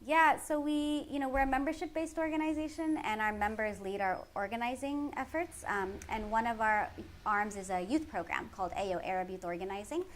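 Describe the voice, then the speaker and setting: A woman's voice: on the high side, steady tone, brisk, hardly any pauses. Earnest Californian woman over background noise. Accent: Californian American. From San Francisco Bay Area, United States. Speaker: community organizer, interviewee. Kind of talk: explanatory interview answers about organizing work. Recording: clearly noisy background ambience.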